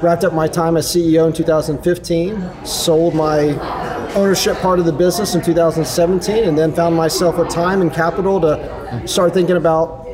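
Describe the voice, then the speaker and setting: A man's voice: a little high, little pitch movement, brisk, crowd noise. A man from Charlotte, a podcast guest, telling his story in an easygoing, upbeat way. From Charlotte, North Carolina. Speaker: guest, developer. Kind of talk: casual interview chat. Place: local brewery.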